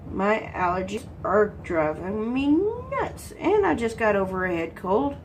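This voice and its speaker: A woman's voice: medium pitch, big pitch swings, unhurried, a little hiss or hum, rough recording. A congested woman from Texas, chatting casually while she works on a craft and answering her own questions. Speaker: crafting vlogger.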